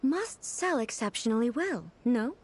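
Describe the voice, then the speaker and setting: A high-voiced, poised female ruler. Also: big pitch swings, a little hiss or hum. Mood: earnest, curious. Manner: formal, measured, stately diction. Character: poised, imperious female shogun ruler with a curious sweet tooth. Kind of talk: video game voice acting.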